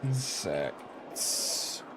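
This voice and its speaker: A man thinking aloud in casual live game commentary, muttering to himself with mild exasperation. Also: very slow. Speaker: game streamer. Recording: clearly noisy background.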